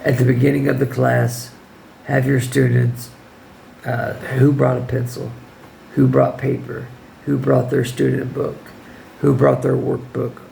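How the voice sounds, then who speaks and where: A man who teaches English gives casual, firm classroom advice in a hoarse voice that keeps giving out, often checking in with the listener. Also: mid-range voice, little pitch movement, a little slow, dark tone, a little hiss or hum, rough recording. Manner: informal, firm, checks in with "okay?". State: hoarse, losing his voice.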